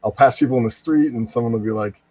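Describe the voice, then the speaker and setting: A man chatting casually as a guest, rambling through animated personal anecdotes, on muffled online-call audio. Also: mid-range voice, quick pace, dry recording. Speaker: podcast guest, voice actor. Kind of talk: casual chat.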